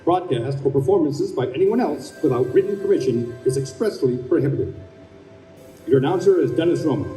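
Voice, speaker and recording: A man's voice: a little high, lively intonation, brisk, dark tone. A man working as a formal announcer, reading scripted credits in an old-time radio style. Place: convention hall stage. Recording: echoey hall, distant microphone, faint music.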